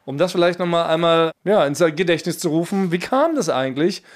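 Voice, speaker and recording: A man's voice: fairly high pitch, lively intonation, brisk. A man co-hosting a podcast in casual comedic banter, with a playful, mock-serious way of talking. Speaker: podcast co-host.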